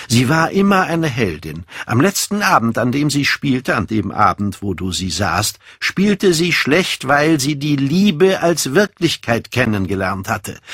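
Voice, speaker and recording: A man's voice: mid-range voice, fairly bright tone, husky, dry recording, studio quality, voice pushed up, heavily acted. A man voicing a vain, callous young aesthete in a haughty, declamatory audiobook style. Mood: passionate, self-absorbed. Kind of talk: dramatic audiobook character reading.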